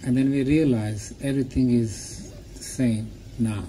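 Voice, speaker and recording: A man giving a public talk in short, deliberate, emphatic phrases, pausing often for an interpreter. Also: medium pitch, poor audio. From Bosnia. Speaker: lecturer. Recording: clear steady background noise.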